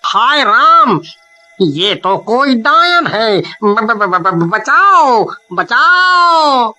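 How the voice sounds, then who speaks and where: High, shrill, greedy cartoon schemer. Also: male voice, slow, sharp tone, faint music behind, exaggerated voice. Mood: terrified. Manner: exaggerated, theatrical, stammering when scared. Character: greedy, scheming cowardly male treasure hunter. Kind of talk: Hindi cartoon dialogue.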